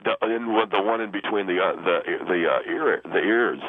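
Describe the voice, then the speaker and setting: A man voicing a vain, sleazy radio guest who is smug, halting and defensive in a comedy call-in. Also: deep voice, breathy edge. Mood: flustered, defensive. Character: vain, sleazy, self-infatuated male studmuffin radio guest. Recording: muffled, band-limited phone line.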